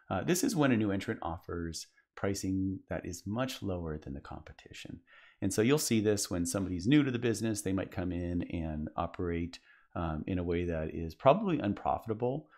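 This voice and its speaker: A man's voice: deep voice, animated, fairly quick, darkish tone. A man presenting a conference session, explaining in a casual way with frequent fillers and restarts. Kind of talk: business presentation.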